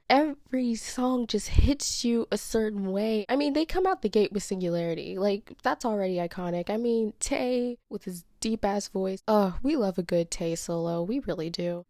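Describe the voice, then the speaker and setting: A woman's voice: mid-range voice, a little mellow, no room sound, studio quality. A congested woman vlogger gushing about her favorite albums in a sassy, playful way. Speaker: YouTuber. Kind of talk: casual music ranking vlog.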